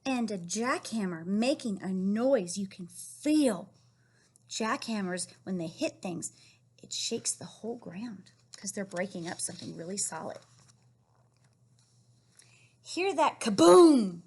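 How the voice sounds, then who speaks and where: A woman reading a picture book aloud to young children, encouraging and questioning them in an animated way with a husky, breathy voice. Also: medium pitch, slow. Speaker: read-aloud storyteller teaching young children.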